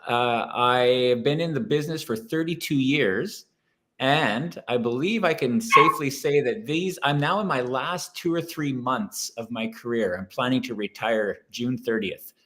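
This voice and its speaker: A man's voice: medium pitch, animated, unhurried, fairly bright tone, slight background noise. A man in his fifties with a Canadian accent, speaking casually as a teacher introducing himself on a panel. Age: 50s. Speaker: panel guest, science teacher.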